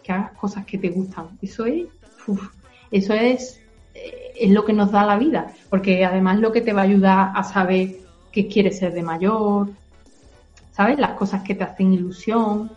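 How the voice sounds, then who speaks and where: An adult woman gently explains ideas to a child, using simple comparisons and reassurance. Her voice has a middle pitch. The sound is slightly dull, with soft music faintly behind. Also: steady tone, normal speed.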